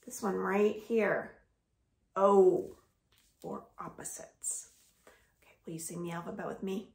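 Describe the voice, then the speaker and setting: A woman's voice: medium pitch, fairly even intonation, creaky, darkish tone. A woman hosting storytime for young children indoors at home, asking simple questions as she reads aloud. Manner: slow, simple questions addressed to small children. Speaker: children's library storytime host. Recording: echoey room.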